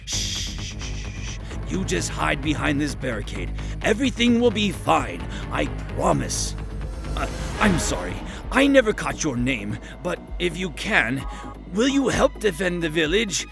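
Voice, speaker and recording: Guy doing a sweet nervous handyman, cartoon style, crackly voice, pitch goes all over, polite. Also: big pitch swings, measured pace, vocal fry, music in the background, heavily acted. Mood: soothing, timid. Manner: hesitant, polite, soothing. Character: gentle, timid, kindly male handyman villager. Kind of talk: animated comic dub acting.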